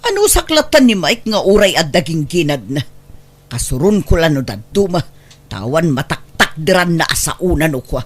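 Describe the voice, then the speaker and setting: An actress as a bossy, moody mother who runs a shop in a radio drama. Her husky voice swings widely in pitch and carries an Ilocano accent. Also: big pitch swings, normal speed. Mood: irritated. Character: bossy, moody older woman, grocery owner and mother.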